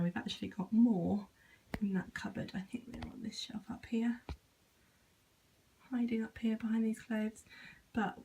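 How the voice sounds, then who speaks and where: A woman's voice: medium pitch, creaky, mellow, slight background noise, poor audio. A young British woman giving a casual, self-deprecating home tour in an unpolished, informal way. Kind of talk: casual informal chat to camera.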